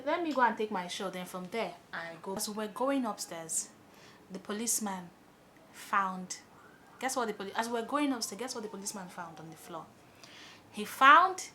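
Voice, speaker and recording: A young woman with a Nigerian accent telling an animated story, chatting as she does her makeup. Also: medium pitch, slight background noise. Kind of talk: storytime while doing makeup.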